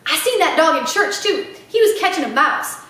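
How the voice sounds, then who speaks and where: A woman's voice: very high voice, animated, moderate pace, bright, forceful tone, distant mic, exaggerated voice. A woman voicing an eager, earnest five-year-old girl who chatters with folksy grammar in a children's storybook reading. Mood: eager, chattering. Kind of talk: children's storybook read-aloud. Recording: echoey room.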